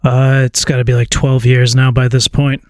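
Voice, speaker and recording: A man's voice: medium pitch, flat delivery, moderate pace, darkish tone, no echo, clean studio sound. A man making a prank call, talking casually and informally with a hesitant stammer. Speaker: prank caller. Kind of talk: casual phone conversation.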